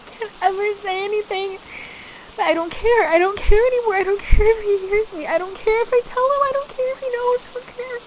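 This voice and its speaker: Casting: actress as a high-pitched, distraught woman trapped with a controlling partner, pleading and halting, intimate roleplay drama, steady rain noise. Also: low-quality recording. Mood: aggrieved, then desperate, defiant. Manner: pleading, halting, repeating herself.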